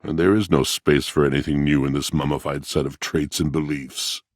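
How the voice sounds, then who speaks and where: An actor playing a proud, melancholy, antiquated washing machine in a video game, speaking in a theatrical, formal, brooding way. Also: male voice, steady tone, normal speed, dry recording, studio quality. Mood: indignant anguish. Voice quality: very deep, husky. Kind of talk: video game character voice acting.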